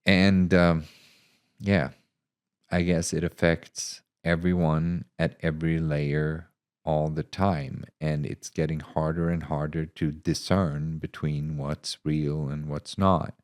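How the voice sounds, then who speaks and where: Man, very low voice, slow delivery, reflective, storyteller and author. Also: flat delivery. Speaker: author reading own short story. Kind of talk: scripted narration and casual reflective talk.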